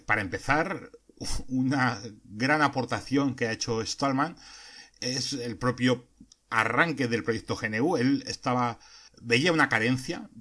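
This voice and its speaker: A man with a Spain Spanish accent hosting a podcast, explaining ideas earnestly with frequent pauses and hesitations. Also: medium pitch, bright, forceful tone. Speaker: podcast host.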